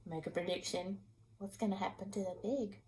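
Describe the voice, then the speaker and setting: A woman teacher reading a picture book aloud to children in an animated style, putting questions to her listeners. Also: medium pitch, a little fast, very noisy.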